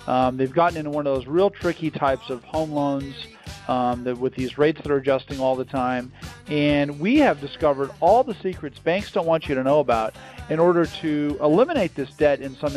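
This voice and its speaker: A man giving an emphatic, salesy pitch as a company founder on a promotional call, repeating phrases for effect. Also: darkish tone. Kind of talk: promotional interview on a conference call.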